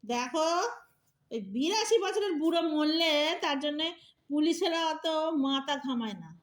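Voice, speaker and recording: Woman as elderly wife, very high voice, blithe, teasing, mock-sweet, comic audio play. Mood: scolding, teasing.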